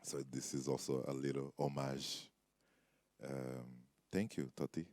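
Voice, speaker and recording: A man hosting a live festival event speaks with a very deep, dark voice. He talks slowly and softly, adding small laughs between his words. The sound is dry and close. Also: flat delivery, no echo. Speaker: festival host.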